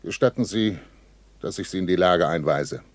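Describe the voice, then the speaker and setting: An actor playing a worried, dutiful German army captain in a 1960s radio play, earnest and formal with superiors. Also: male voice, sits low, normal speed, no echo, close to an everyday voice. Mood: formal, uneasy. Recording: dry early 1960s radio studio.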